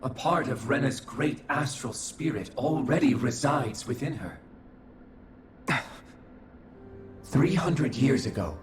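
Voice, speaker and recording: A man's voice: mid-range voice, darkish tone, natural voice. An actor playing a cold, calculating male observer figure in a video game cutscene, speaking formally and without feeling. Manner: formal, detached, clinical. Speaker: game character. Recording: echoey, with game music behind.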